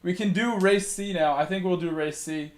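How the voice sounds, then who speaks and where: A man's voice: high-pitched, normal speed. A man with a Connecticut accent streaming a racing game, chatting casually and laughing with his viewers. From Connecticut, USA. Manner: chatty, laughs easily, talks to chat mid-race. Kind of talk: casual live-stream chat while racing.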